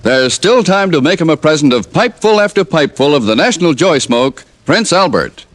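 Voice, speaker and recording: Male American pitchman, vintage radio commercial, slightly high voice, animated delivery. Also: a little fast. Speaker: commercial announcer. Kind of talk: 1950s radio advertisement.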